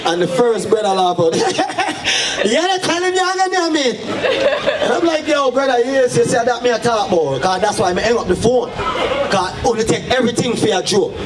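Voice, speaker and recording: A man's voice: very high-pitched, moderate pace, a loud crowd. A man in his forties with a Jamaican accent mixed with New York, telling a story on stage and switching into patois. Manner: animated, switches into patois for quoted voices. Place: live event stage. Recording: roomy venue sound from far off.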